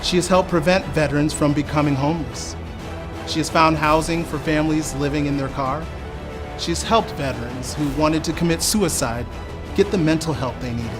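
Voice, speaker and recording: A man's voice: on the high side, normal speed. A man reading a scripted tribute aloud as narration. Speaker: company employee reading submission stories. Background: prominent background music.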